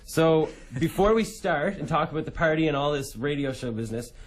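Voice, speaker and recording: A man's voice: on the high side, animated, a little fast. A man hosting a radio interview in a studio, a bit hesitant with frequent "uh" fillers. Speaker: radio host.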